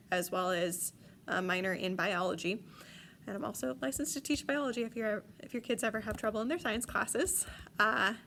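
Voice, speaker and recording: A woman's voice: medium pitch, fairly bright tone, a little hiss or hum. Chatty, enthusiastic young woman teacher. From Chicago area. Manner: enthusiastic, chatty, with frequent ums. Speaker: French teacher. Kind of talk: recorded video message to parents. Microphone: tablet device.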